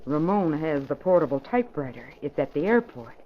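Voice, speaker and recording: An actress playing a cool, practical criminal accomplice in an old radio drama, composed and businesslike. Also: female voice, low-pitched, sing-song, brisk. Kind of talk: 1940s radio acting. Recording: muffled old radio recording with hiss.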